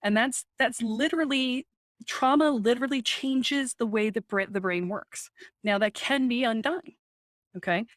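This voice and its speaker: A woman guest on a podcast interview, a spiritual coach who talks in an animated, emphatic way, often tags "right?" and laughs easily. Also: on the high side, normal speed, no echo, studio quality.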